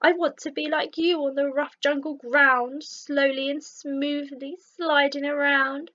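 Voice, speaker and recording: A plaintive, high-pitched young boy rhino from a children's storybook , acted out by a woman reader. He is hopeful and earnest, longing to be special, and the sound is a little dull and lo-fi. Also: little pitch movement, measured pace, pushed and bright. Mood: eager.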